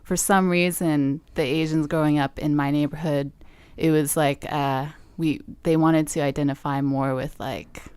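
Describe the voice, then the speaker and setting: A woman's voice: sits low, fairly even intonation, moderate pace, darkish tone, clean tone, dry recording. A young woman from Southern California, chatting casually in an interview, with lots of likes and ums. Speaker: guest musician.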